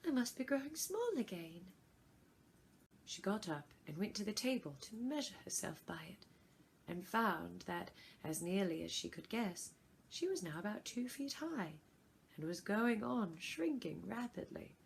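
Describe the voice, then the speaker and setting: A woman narrating a children's story expressively, with deliberate, dramatic phrasing. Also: mid-range voice, expressive, creaky, slight background noise. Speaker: volunteer story reader.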